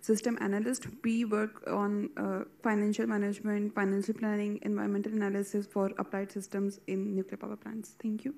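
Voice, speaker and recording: A woman introduces herself quietly. Her mid-pitched voice stays flat and even. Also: fairly quick, creaky, hardly any pauses. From Pakistan. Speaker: system analyst. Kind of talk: self-introduction.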